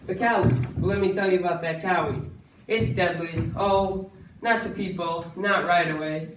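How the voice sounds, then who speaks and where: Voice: mid-range voice, steady tone, a little slow, natural voice. Scheming swindler played by an amateur actor, stage melodrama, falsely genial, theatrical, distant, echoing room. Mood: slyly persuasive. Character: smooth-talking scheming villain swindler, fake government man in top hat. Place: school stage, far camera in audience. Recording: echoey hall from far off, noisy.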